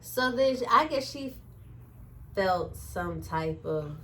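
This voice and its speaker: A woman's voice: mid-range voice, slow, slight background noise. A woman telling a story in a casual chat, acting out the quoted dialogue as she goes. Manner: animated retelling with acted-out quotes.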